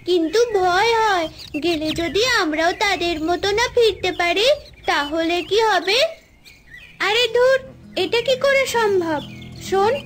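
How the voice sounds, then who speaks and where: A woman voicing young girls on a forest adventure in a cartoon fairy tale, bickering and dramatic. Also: very high-pitched.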